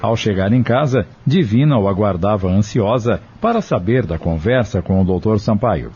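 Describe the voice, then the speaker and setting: A Brazilian man narrates a scripted audio drama. His voice is low, mellow and husky, on a somewhat dull recording. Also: animated, normal speed, soft background music.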